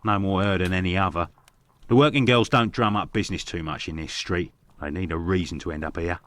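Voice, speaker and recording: An actor playing a gossipy working-class Victorian barkeeper in a video game, blunt and colloquial, with a London accent. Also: male voice, low voice, a little fast, a bit bright, no room sound. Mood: gossipy, scornful. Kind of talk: video game character dialogue.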